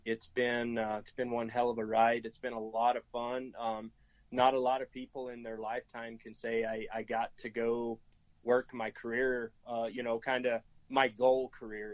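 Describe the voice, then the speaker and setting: Dull, dry recording of an even-toned American man. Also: mid-range voice, no room sound. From New Mexico, United States. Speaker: podcast guest, game and fish officer. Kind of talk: casual interview talk.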